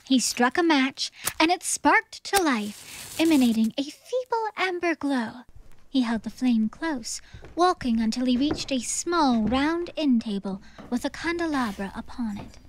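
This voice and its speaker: High-voiced woman narrating a story. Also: expressive, measured pace, a little hiss or hum, rough recording. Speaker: audiobook narrator. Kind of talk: scripted theatrical story narration.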